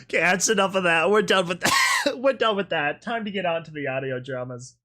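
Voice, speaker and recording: A woman's voice: lowish voice, animated, fairly quick, fairly bright tone. A woman hosting a reaction video with casual commentary, faint music behind.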